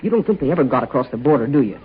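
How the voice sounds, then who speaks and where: A man's voice: medium pitch, expressive, very fast, slightly rough. A man playing an eager, youthful pilot's sidekick in a 1940s radio serial, with an earnest, folksy, boyish manner. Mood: curious, concerned. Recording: noisy, muffled 1940 radio broadcast.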